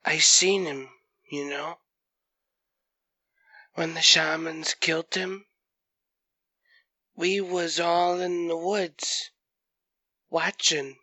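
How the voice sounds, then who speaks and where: A performer voicing a young furry creature boy in an audio drama, earnest and curious, with halting, rustic speech. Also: medium pitch, fairly even intonation, bright, forceful tone, no room sound, voice pushed up. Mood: troubled, halting. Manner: halting, rustic grammar, questioning.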